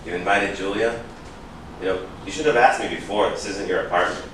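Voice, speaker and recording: A man playing a wry, flirtatious investigator in a staged reading, conversational with hesitant pauses. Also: low-pitched, lightly acted. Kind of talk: stage acting at a script reading. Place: large room, staged reading recorded from afar. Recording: echoey room, far from mic, noisy.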